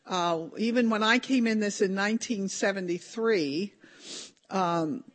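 An older woman speaking at a conference, slightly hoarse with a deep voice for a woman, talking informally with many ums and restarts. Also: unhurried. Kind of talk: informal talk with prayer.

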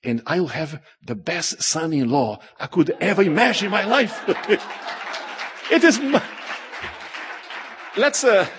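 A middle-aged man whose first language is Portuguese gives a public talk as an animated, joking storyteller. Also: high-pitched, takes it slowly, pauses often, husky, some chatter behind. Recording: long hall reverb.

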